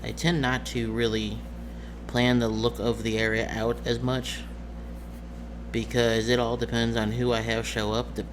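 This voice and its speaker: A man talking as game master, explaining a tabletop game scenario casually in a halting, self-correcting way. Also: medium pitch, flat delivery, background noise.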